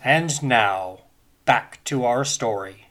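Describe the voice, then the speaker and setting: A man's voice: medium pitch, takes it slowly, fairly bright tone, faint music behind. Serial narrator played by a man, middle register, dramatic 1930s broadcast announcing style. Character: dramatic old-time radio serial announcer. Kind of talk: 1930s radio scripted narration.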